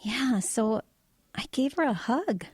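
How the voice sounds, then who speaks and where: A woman's voice: a little high, expressive, slow, husky, no echo, clean studio sound, heavily acted. An actress playing a matter-of-fact woman truck driver in an audio drama, recounting eerie visions in a hesitant, conversational way. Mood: quiet, wistful.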